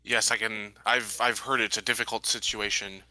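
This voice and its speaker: A man playing a tabletop game, talking conversationally and somewhat haltingly as he plays. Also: lowish voice, little pitch movement, pushed and bright, no echo, poor audio.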